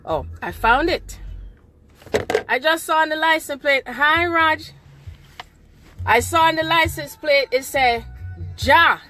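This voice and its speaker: A middle-aged woman with a somewhat high voice talks fervently into a phone held at arm's length inside a car at night. She sounds a little nasal from a cold and keeps circling back to affirmations. Soft music plays faintly behind her. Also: slow pace, pauses often. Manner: fervent, repeats affirmations like "that's right". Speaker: spiritual livestreamer. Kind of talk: impromptu live video chat.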